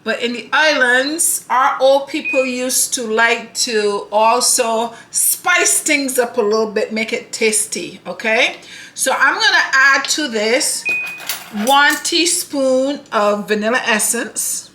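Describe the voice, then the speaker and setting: A woman's voice: fairly high pitch, takes it slowly, pushed and bright, slight background noise. A woman from Barbados, hosting a home cooking demonstration in a chatty, affectionate way that often checks in with the viewer. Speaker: cooking channel host. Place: home kitchen. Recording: echoey kitchen with dishes clattering.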